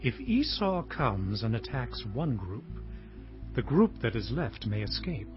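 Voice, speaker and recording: A man's voice: mid-range voice, big pitch swings, a little slow. An actor playing an emphatic, hardworking herdsman patriarch in a dramatized audio Bible, declaiming with passion. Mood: anxious, pleading. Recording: dull lo-fi audio with music behind.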